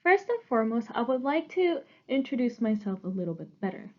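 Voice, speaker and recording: A woman's voice: a little high, big pitch swings, highs cut off. Earnest young woman presenting art. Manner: earnest, halting, with small restarts. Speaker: art student presenting her work. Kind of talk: prepared presentation.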